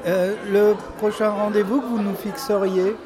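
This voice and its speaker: A man's voice: high-pitched, steady tone, normal speed, music in the background. An older man interviewing a guest for radio, steering the talk and rephrasing his questions.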